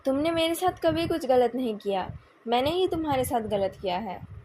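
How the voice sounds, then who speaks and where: An Indian-accented woman voicing a young male company president in a novel, calm, measured and coolly taunting. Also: high voice, a little hiss or hum. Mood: calm, cutting.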